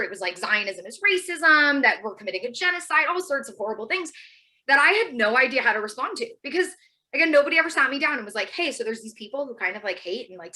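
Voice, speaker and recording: An American woman in her thirties speaks as a guest educator in an informal, personal style. Her voice is bright and fairly high, and she moves very quickly, leaning into emphatic asides. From United States. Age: 30s.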